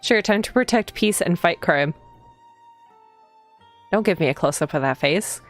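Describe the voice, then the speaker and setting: Low-pitched woman streamer with playful commentary. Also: animated, measured pace. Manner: playful, teasing, giggly asides to viewers. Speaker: streamer playing a visual novel. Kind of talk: casual live gameplay commentary and reading aloud. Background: game music.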